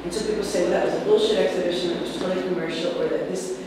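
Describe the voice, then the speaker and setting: A woman in her forties on an art panel, talking in a rambling, animated way with many fillers. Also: fairly low pitch, racing. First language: not Czech. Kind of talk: panel discussion. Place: room with curtains, seated on sofa. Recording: distant mic, echoey hall, noisy.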